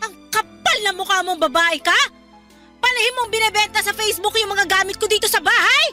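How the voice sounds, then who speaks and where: A woman's voice: very high voice, fairly quick, faint music behind, heavily acted. A woman playing a furious, betrayed single mother scolding her maid in a melodramatic radio drama. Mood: accusing, angry. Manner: accusing, scolding, forceful.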